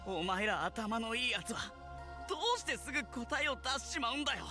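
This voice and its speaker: An actor playing a rough-talking, hot-blooded young male animal keeper in a visual novel, with blunt, emotional delivery. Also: medium pitch, lively intonation, racing, close to an everyday voice. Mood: impassioned pleading. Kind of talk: Japanese visual novel voice acting. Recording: music behind the voice.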